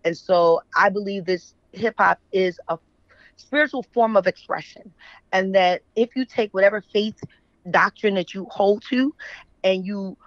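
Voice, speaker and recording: A woman's voice: medium pitch, unhurried, no room sound. American woman in dull, lo-fi audio. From Philadelphia, USA. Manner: conversational, often checks in with "right?". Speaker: interview guest, author and editor. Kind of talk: casual storytelling in an interview.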